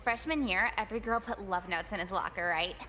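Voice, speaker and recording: Woman playing a bright, echoey snarky teen girl. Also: mid-range voice, brisk, lightly acted. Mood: gossipy, snarky. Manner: blunt, casual, gossipy. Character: breezy, snarky, sociable popular teenage girl. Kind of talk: visual novel game voice acting. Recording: roomy reverb over noisy ambience.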